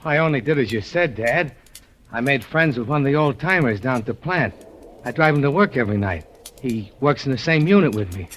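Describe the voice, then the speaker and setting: An actor playing an anxious young man torn between loyalty to his father and his conscience, speaking with uneasy tension and dropping to a hushed tone when he narrates, in a 1950s radio drama. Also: mid-range voice, lively intonation, a little fast, a little hiss or hum, lightly acted. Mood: nervous.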